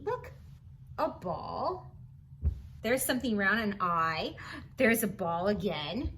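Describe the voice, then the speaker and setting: A woman's voice: high voice, fairly bright tone, a little hiss or hum. A woman reading a picture book aloud to young children, adding chatty asides in an encouraging, instructional manner. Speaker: children's read-aloud host.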